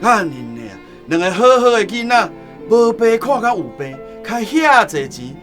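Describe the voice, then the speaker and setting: An actor playing an indignant village father in a radio play, loud and exclaiming. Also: animated, moderate pace, faint music behind. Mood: aggrieved. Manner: loud, exclaiming, high-strung.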